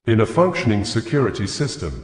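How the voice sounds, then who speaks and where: A man's voice: fairly low pitch, lively intonation, quick pace. A man reading scripted news reports and statements aloud in a formal manner. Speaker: news reader. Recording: echoey, roomy voice recording.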